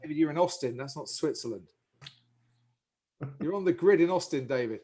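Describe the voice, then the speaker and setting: A man's voice: a little high, lively intonation, fairly quick, mellow. A man hosting a podcast with remote guests, upbeat and jokey, in broadcaster patter over a video call. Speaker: podcast host.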